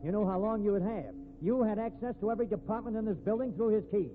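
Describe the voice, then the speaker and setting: An actor playing a gruff, stubborn, irritable police detective, blunt and slangy, in 1950s radio drama. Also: male voice, sits high, fast talker, over music, natural voice. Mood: dogged, accusing. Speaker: police investigator. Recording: tinny, noisy vintage radio recording.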